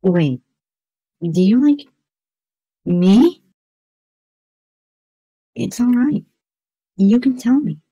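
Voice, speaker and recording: A woman's voice: a little high, expressive, drawn-out words, pauses often, warm tone, breathy edge, no room sound, heavily acted, low-quality recording. A woman voicing a lovesick, downcast cartoon schoolboy in an amateur comic dub, earnest and plaintive. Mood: tender, earnest.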